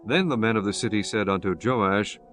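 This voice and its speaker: A man narrating dramatized scripture as an audiobook, in a solemn, measured storytelling manner. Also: on the low side, a bit bright, soft background music.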